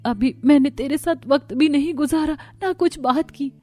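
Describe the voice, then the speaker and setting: A woman's voice: high-pitched, moderate pace, warm tone, lightly acted. Woman acting a plaintive, meek mother. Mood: pleading. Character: meek, hurt, poor elderly mother. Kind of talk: Hindi cartoon story dialogue.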